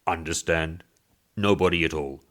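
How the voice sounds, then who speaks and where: A man's voice: deep voice, normal speed, fairly bright tone. A man voicing a self-important boss in a storybook reading, firm and curt. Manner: curt, commanding. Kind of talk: short story character voice.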